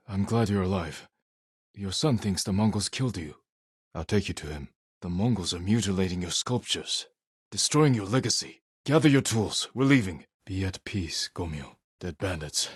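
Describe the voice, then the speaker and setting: A man playing a solemn, resolute samurai warrior in a video game, speaking in a husky, measured, grave way with short phrases. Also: low voice, dry recording, clean studio sound. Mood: firm, reproachful, then somber, gentle. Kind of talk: dramatic video game character dialogue.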